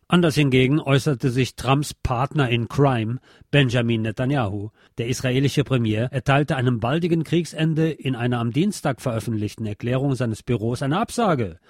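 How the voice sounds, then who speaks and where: A man's voice: medium pitch, darkish tone, dry recording. A man hosts with scripted political commentary, in a mid-range voice. He drops sardonic asides into the reading. The sound is dry, with very little room in it. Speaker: host.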